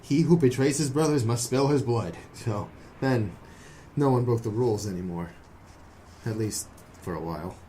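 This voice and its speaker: An actor playing an earnest, nervous young man, hesitant and excitable, in a noir audio drama. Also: medium pitch, normal speed, a little hiss or hum, close to an everyday voice, low-quality recording. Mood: uneasy, confessing. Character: earnest, nervous young male defector from secret brotherhood.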